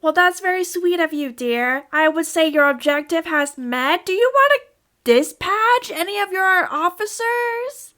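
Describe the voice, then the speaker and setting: Woman doing a prim, high-pitched motherly fusser. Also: expressive, unhurried, bright, forceful tone, heavily acted. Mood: polite, concerned. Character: motherly, bossy, fussing woman character, polite but firm.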